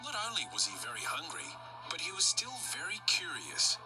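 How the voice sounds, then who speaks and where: A man's voice: a little high, wildly swooping pitch, brisk, poor audio. A man reading scripted narration for a children's storybook. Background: music.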